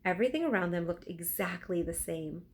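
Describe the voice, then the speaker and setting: A woman narrating a children's storybook aloud in an expressive, deliberate storytelling style. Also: lowish voice. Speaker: teacher reading aloud.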